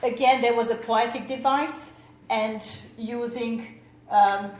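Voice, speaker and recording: A woman's voice: fairly high pitch, steady tone, takes it slowly. A woman teacher, presenting at a workshop with many fillers and restarts. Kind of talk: conference presentation. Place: lecture room. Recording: echoey lecture room, faint noise.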